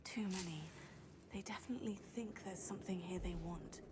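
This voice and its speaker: An actress playing a young, wry student companion in a video game, speaking in hushed, uneasy asides. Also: female voice, medium pitch, brisk, heavy background noise, lightly acted. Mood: wary.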